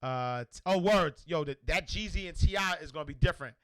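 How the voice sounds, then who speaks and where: A man with a New York accent hosting a livestream, loudly and energetically answering viewer comments in casual chat. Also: on the high side, brisk. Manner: loud and energetic, reads comments aloud. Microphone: desk microphone.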